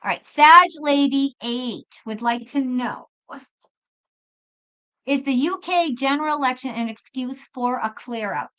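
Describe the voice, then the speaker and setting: A woman's voice: high-pitched, measured pace, pushed and bright. A woman hosting a live tarot reading, chatting casually with viewers in an emphatic way and often repeating her phrases. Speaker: host and tarot reader. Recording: dull lo-fi live stream audio.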